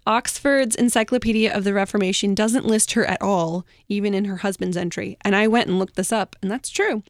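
A woman's voice: medium pitch, fairly even intonation, a little fast, no echo, studio quality. A woman hosting a podcast, telling a researched history story in an informal, conversational way.

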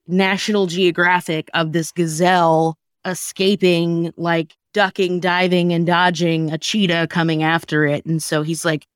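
A woman's voice: on the low side, dry recording, studio quality. A woman hosting a podcast, chatting casually with wry, sarcastic humor. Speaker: podcast host. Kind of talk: casual comedic chat.

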